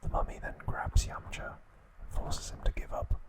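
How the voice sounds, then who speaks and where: Whispering man narrating softly for ASMR. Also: moderate pace. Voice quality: breathy unvoiced whisper. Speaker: host of an ASMR trivia channel.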